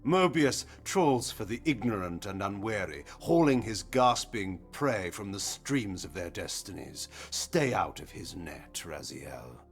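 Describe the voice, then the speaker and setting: A man playing an imperious, cynical vampire lord in a video game, delivering measured, archaic, theatrical speeches. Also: lowish voice, lively intonation. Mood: sardonic, cryptic. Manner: grandiloquent, measured, archaic rhetoric. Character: imperious male vampire lord, cynical, cunning, grandly fatalistic. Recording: music behind the voice.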